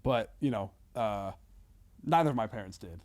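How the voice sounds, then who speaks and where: A man chatting casually as a comedian guest on a podcast, talking in halting, hedging bursts with casual swearing and frequent check-ins with listeners. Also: on the low side, expressive, a little mellow.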